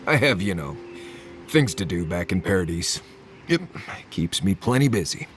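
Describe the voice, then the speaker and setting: An actor playing a grudging, dry-witted wilderness guide in a video game, curmudgeonly and sardonic. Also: male voice, low-pitched, very animated, moderate pace, slight background noise. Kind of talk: conversational video game voice acting.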